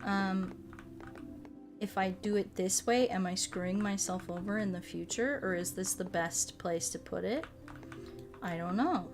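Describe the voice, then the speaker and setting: A woman's voice: mid-range voice, a little slow. A woman streaming a game, chatting casually and thinking aloud in an informal, rambling way. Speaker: streamer and host. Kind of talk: casual livestream chat while playing a game. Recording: music playing behind the voice. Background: music.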